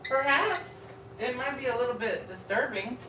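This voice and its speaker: A woman's voice: fairly high pitch, lively intonation, normal speed. A woman from Texas with a Texan accent, chatting frankly and rambling while she cooks and eats during a live stream from her home kitchen. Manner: rambling and frank, talks while eating and cooking. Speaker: food vlogger. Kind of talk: casual live-stream chat while cooking. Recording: roomy, distant from the mic, noisy.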